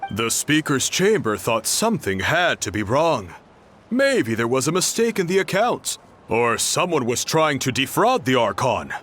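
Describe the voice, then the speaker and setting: A man's voice: on the high side, a bit bright, a little hiss or hum. An actor playing a jovial man hosting an eating contest in a video game, with a hearty storytelling manner.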